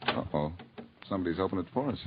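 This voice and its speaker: Deep-voiced, wisecracking detective, lively delivery, hissy muffled old radio. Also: male voice. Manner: wry, quick-witted. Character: wisecracking male detective, confident. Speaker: lead detective. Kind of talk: 1940s radio acting. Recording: muffled old radio recording with clear hiss.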